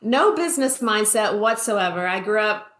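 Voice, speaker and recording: A woman in her forties talks about her life as a vocal coach, chatty and quick to laugh, often restarting her sentences. Her pitch is middling. She sounds like she is in a roomy, echoing space. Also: animated, fairly bright tone. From Taiwan. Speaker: vocal coach, podcast guest. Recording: roomy echo.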